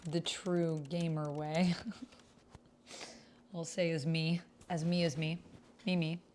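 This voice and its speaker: A woman's voice: deep voice, little pitch movement, slow, a little mellow, a little hiss or hum. A woman streamer chatting casually and thinking aloud as she plays and reads out a text-based roleplaying game. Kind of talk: casual livestream chat with typed roleplay read aloud.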